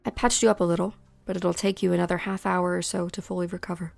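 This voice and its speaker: Creaky, guarded woman fighter, dry sound acted. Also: lowish voice, little pitch movement, fast, a little mellow, no echo, faint music behind. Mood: clinical, composed. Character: calm, guarded woman, fighter who tends wounds. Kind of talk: scripted fan-dub game drama acting.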